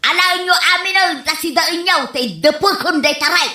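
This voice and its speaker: Casting: woman to play fussy older Ilocano mother, high sharp voice, complaining radio-comedy nag, roomy echoing sound. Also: moderate pace. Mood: scolding. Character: fussy, scolding older mother vexed about bills.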